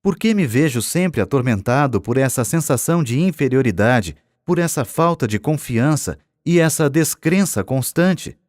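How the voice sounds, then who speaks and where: A man's voice: mid-range voice, animated, normal speed, few pauses, darkish tone, dry recording, clean studio sound, pitched above the performer's own voice, natural voice. A man with a Brazilian accent voicing a despondent, anxious businessman of forty in an audiobook, lamenting and pleading earnestly. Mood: dejected, pleading. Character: despondent, anxious forty-year-old businessman lacking self-confidence. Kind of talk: audiobook character dialogue.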